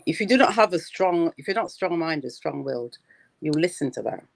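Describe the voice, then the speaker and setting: A woman's voice: low-pitched, expressive, moderate pace, gravelly, no room sound. A woman in her sixties, a lawyer on a discussion panel, speaking in a firm and assertive way. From Britain.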